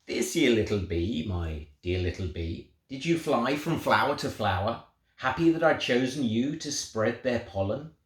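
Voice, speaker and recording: A man voicing a tender, proud God who slowly questions little creatures in a children's storybook. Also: mid-range voice, expressive, natural voice. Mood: tender questioning. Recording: roomy, echoing sound.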